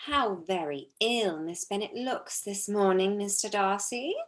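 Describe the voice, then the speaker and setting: A woman's voice: medium pitch, measured pace, close to an everyday voice. A woman playing a jealous young society lady in a period drama reading, mocking and affected in her manner. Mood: catty disdain. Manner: mocking, affected, drawling then darting.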